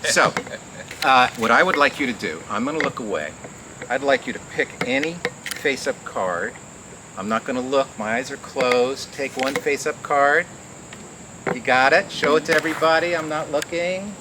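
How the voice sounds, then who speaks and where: A man hosting a live outdoor garden show, welcoming people and doing magician's patter in an informal, halting, rambling way. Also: medium pitch. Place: outdoor community garden event. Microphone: live mic through a speaker. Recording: very noisy outdoor live recording.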